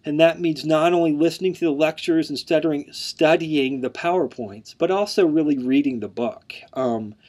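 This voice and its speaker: A man's voice: on the high side, moderate pace, hardly any pauses, darkish tone. A man in his thirties introducing himself as the instructor of an online course. He speaks earnestly, with a mild stutter, word repetitions and frequent "um" fillers.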